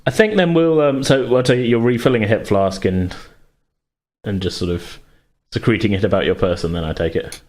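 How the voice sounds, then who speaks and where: A man's voice: on the low side, fairly quick, a little mellow. A man casually refereeing a tabletop game as game master, hesitant and easygoing as he thinks aloud.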